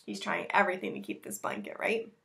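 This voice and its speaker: A woman's voice: on the low side, sing-song, fast, creaky, sharp tone. An American woman in her thirties reading a picture book aloud to children, expressively, with chatty and simply worded asides, recorded in a room at home. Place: small room at home with bookshelves.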